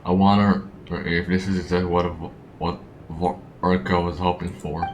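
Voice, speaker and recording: A man reading a thoughtful, earnest traveling adventurer in a video game, halting and hesitant. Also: sits low, flat delivery, noisy background. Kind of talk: voiced video game dialogue. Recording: roomy echo, game music behind.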